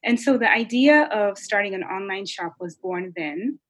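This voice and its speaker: A woman from Kenya, a guest entrepreneur, telling her story in a lively, conversational way. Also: mid-range voice, normal speed, no echo.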